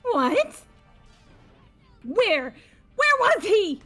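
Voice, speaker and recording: A woman's voice: extremely high pitch, sing-song, drawn-out words, pushed and bright, soft background music, exaggerated voice. A woman voicing a crazed, leering female killer in a video game, giddy and obsessive with gasping, swooning delivery. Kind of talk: amateur voiced video game playthrough.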